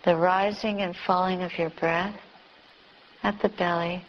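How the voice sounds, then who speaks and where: A woman teacher leading a beginner's guided meditation, giving calm, gentle instructions with long pauses. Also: lowish voice, muffled recording, a little hiss or hum. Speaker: Buddhist nun teaching meditation.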